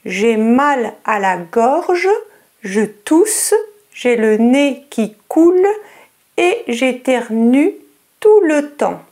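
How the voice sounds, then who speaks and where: Woman, French accent, language teacher, very slow, high and lively pitch, careful articulation. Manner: over-articulates words and repeats them slowly for learners. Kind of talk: slow, clear lesson narration in English and French.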